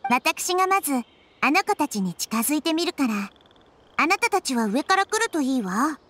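A woman playing a calm, curious little girl deity in a Japanese game, composed and gentle in manner. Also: high-pitched, moderate pace. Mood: instructive. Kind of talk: Japanese anime game dialogue. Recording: faint game music behind.

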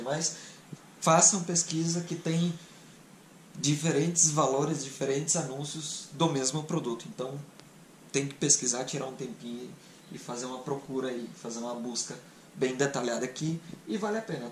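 A man's voice: fairly high pitch, slight background noise. Chatty Brazilian man in an echoey garage. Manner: chatty, demonstrative, frank, many fillers. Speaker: travel vlogger. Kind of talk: casual product review. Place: garage beside an open car trunk.

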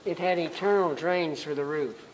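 A man's voice: fairly high pitch, normal speed. A man in the audience breaking in firmly during a lecture. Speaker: audience member. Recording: distant voice with faint room noise.

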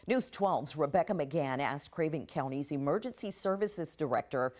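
A woman's voice: low voice, lively intonation, normal speed, a little mellow. A woman in middle age reading a scripted news introduction as an anchor in a studio.

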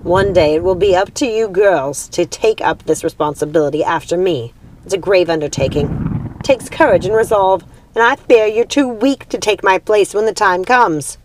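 A woman's voice: fairly low pitch, moderate pace, natural voice. Storybook witch queen, played by a female reader: cruel, proud, commanding, theatrical, over a noisy recording. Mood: scornful, stern.

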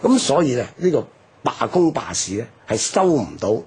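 Husky, animated man explaining in Hong Kong Cantonese. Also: mid-range voice, normal speed. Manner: explanatory, emphatic, frequent rhetorical tags. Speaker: history commentator, programme guest. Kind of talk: unscripted radio history explanation.

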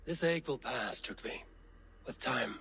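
A steady Viking warrior in a fantasy game. His mid-pitched voice is earnest and measured, like a loyal counsellor, with clear ambient noise around it. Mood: gentle, consoling. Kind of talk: dramatic video game acting.

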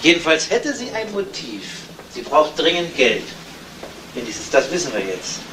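Dry, sceptical police detective, noisy old recording. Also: male voice, on the high side, big pitch swings. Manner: dry, probing, measured questioning. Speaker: actor. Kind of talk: 1970s German radio crime drama acting. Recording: noisy old 1970s radio play recording.

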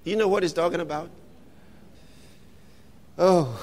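A man preaching an impassioned sermon, shouting scripture with long drawn-out phrases. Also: a little high, big-room sound, a little hiss or hum.

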